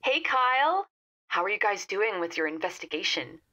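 A woman's voice: medium pitch, very animated, normal speed, sharp tone, dull audio. A woman playing a helpful, slightly old-fashioned informant in an audio fiction podcast, chatty and confiding.

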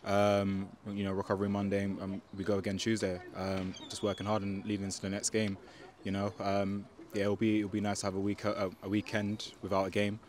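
A man's voice: fairly low pitch, monotone, brisk, darkish tone, slight background noise. A young man, a football player giving a post-match interview outdoors into a handheld mic, hesitant with many fillers and restarts. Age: young adult. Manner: hesitant, many fillers and restarts, keeps asking "do you know what I mean". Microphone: handheld microphone.

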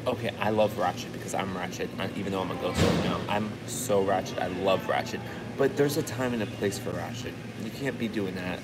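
A young man chatting and gushing during a live eating stream, filmed on a phone in a restaurant. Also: sits low. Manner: chatty, gushing, quick to gasp and laugh. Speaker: vlogger. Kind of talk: casual live-stream chat while eating. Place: indoor restaurant with high ceiling. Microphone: phone camera close up. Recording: loud restaurant background noise.

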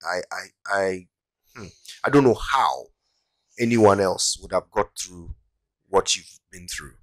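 A man's voice: deep voice, slow pace. A male radio host in a studio, prompting a caller with brief, sympathetic questions. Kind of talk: studio talk-show interview.

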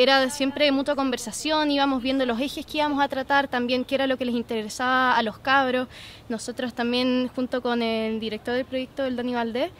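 A woman's voice: high voice, slight background noise. A woman in her twenties with a Chilean accent, explaining her work on a programme in an interview. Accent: Chilean Spanish. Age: 20s. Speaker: programme content producer and co-host. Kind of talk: documentary interview testimony. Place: garden outdoors, seated interview.

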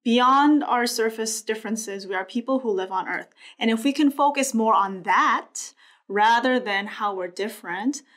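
Quick, animated woman hosting a podcast. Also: on the high side. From Korea. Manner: quick, animated, lots of "like" and "you know". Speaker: podcast host. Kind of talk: casual podcast chat. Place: small bright studio room, indoors. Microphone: desk microphone.

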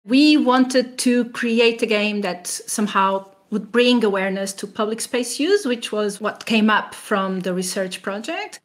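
A woman's voice: on the high side, measured pace, few pauses. A woman researcher giving explanatory answers in an interview. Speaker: academic researcher being interviewed.

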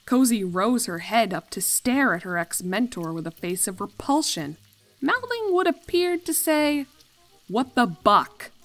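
A woman reading a fan fiction story aloud as an expressive narrator. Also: fairly high pitch, measured pace.

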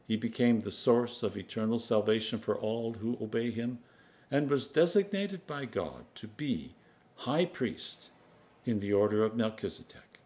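A man in his sixties, an Anglican priest, reading scripture aloud in a measured, solemn, emphatic manner. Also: on the low side, a little mellow. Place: home kitchen, indoors.

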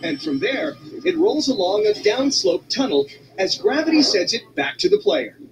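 A man narrating a televised documentary segment in a measured, smooth delivery with sweeping rises in tone. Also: sits high, sing-song, muffled recording, a little hiss or hum. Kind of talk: scripted narration. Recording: music playing under the voice.

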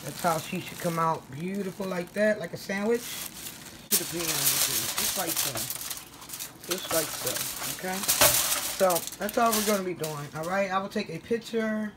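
A weary woman cooks in her home kitchen and talks the viewer through each step in a casual, chatty way. She keeps checking in with the audience as she goes. The sound comes from a phone held in her hand, with clear noise underneath. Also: on the low side, normal speed. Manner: chatty, repeats "all right?" and "guys". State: tired after a long workday. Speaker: home cook vlogger. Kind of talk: casual cooking walkthrough.

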